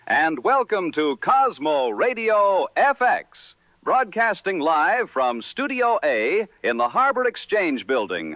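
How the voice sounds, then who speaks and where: An actor playing a courteous, genial 1960s evening radio host, with a smooth announcer delivery and dry understatement. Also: male voice, on the high side, no room sound, lo-fi sound. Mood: genial, announcing. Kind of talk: 1960s radio broadcast acting.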